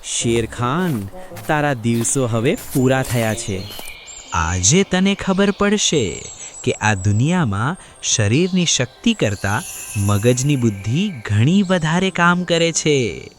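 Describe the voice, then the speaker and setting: A man's voice: medium pitch, sing-song, takes it slowly, slight background noise. A man voicing a small clever jackal, sly and falsely humble, in a children's cartoon story. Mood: scheming, confident.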